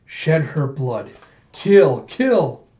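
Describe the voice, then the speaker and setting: A death-obsessed male villain from a comic story, acted out by a man, speaking in grandiose, brooding monologue. The mellow voice sits in a dull, echoey room. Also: fairly high pitch, unhurried, lightly acted. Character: morbid, nihilistic male photographer obsessed with death and beauty.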